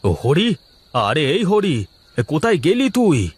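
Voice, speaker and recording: A man's voice: a little high, sing-song, slow pace, husky, heavily acted. A man voicing a self-important cartoon village council head, authoritative but easily flustered, sometimes repeating his words. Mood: alarmed. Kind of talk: cartoon voice acting.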